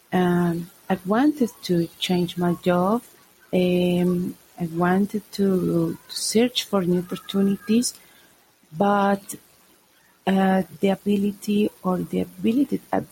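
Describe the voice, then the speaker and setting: Slow, husky-edged Mexican woman. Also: fairly low pitch, warm tone, slight background noise, low-quality recording. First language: Spanish. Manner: slow, hesitant, with many pauses. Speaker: listener leaving a voice message. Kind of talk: recorded voice message.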